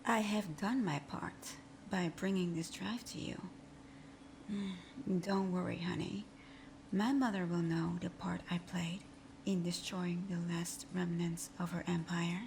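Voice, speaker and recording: A woman playing a supervillain thief whose teasing bravado hides a timid, wounded heart, in a breathy, often whispered, intimate ASMR roleplay style. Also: fairly low pitch, steady tone, a little slow, darkish tone, a little hiss or hum. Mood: smug, teasing. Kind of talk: ASMR roleplay audio drama.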